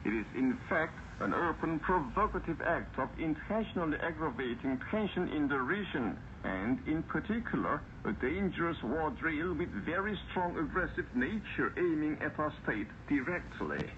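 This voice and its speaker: A man reading a formal scripted news bulletin in a solemn, deliberate, declarative way as a radio announcer, heard over shortwave radio. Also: a little high, sing-song, fairly bright tone. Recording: muffled, noisy shortwave radio broadcast.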